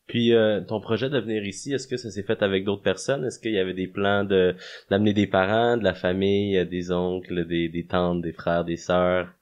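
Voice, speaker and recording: A man's voice: low voice, little pitch movement, normal speed, lo-fi sound. A man co-hosting a podcast, asking casual interview questions. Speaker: podcast co-host.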